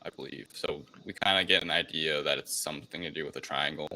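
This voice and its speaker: A man's voice: very deep voice, quick pace, sharp tone, a little hiss or hum, rough recording. A man co-hosting a casual film discussion, talking in a hesitant, rambling way with unsure phrasing. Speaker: podcast co-host recapping a film.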